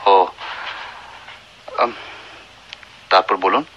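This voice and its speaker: An actor with a Bangladeshi accent playing a humble, melancholy doctor in a radio drama, courteous and hesitant. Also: male voice, deep voice, steady tone, extremely slow, fairly bright tone, heavily acted. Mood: flustered, courteous. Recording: dull, noisy old radio recording.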